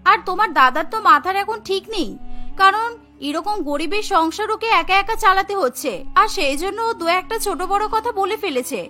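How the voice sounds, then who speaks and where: Cartoon sister-in-law, female performer: young, caring, earnest, very high-pitched and bright. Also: animated, a little fast, soft background music, exaggerated voice. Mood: consoling. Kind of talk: Bengali cartoon dubbing.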